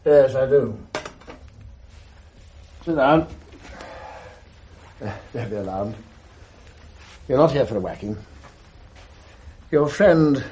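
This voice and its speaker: Actor as a lively English headmaster, avuncular and measured. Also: male voice, medium pitch, a little hiss or hum, natural voice. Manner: measured, avuncular, clipped old-fashioned phrasing. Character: genial, watchful boys' school headmaster. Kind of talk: 1980s radio drama acting.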